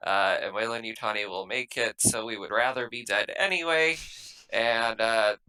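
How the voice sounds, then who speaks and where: A man playing a tabletop roleplaying game, explaining things in a halting, matter-of-fact way as he improvises in character. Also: fairly low pitch, sharp tone, smooth, poor audio.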